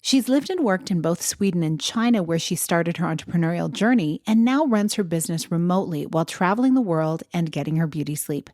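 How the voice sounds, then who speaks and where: A woman's voice: on the low side, darkish tone, no echo, clean studio sound. A woman hosting a wellness podcast, reading her intro and asking interview questions in an upbeat, encouraging way. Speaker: podcast host and health coach.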